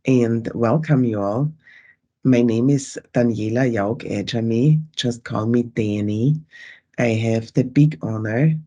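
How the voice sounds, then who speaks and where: A woman's voice: extremely low pitch, a little mellow. A woman with an Austrian German accent moderating an online event on a video call, upbeat and welcoming as she greets the audience.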